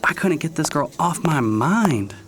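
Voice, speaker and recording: A man's voice: on the high side, lively intonation, measured pace, noisy background. An actor playing an ambitious, self-assured male prosecutor in an audio drama, with a pointed, knowing delivery. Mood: confiding, reflective. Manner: confiding in narration, sharp and sarcastic in argument. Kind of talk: audio drama narration and dialogue.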